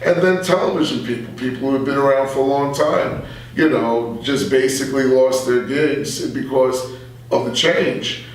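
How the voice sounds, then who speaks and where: Male interviewee, middle-aged, mid-pitched, earnest and halting, heard in a strongly echoing room. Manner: earnest, halting delivery with repeated phrases. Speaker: radio journalist interview guest. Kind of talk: conversational political interview. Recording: very echoey room, far from the mic.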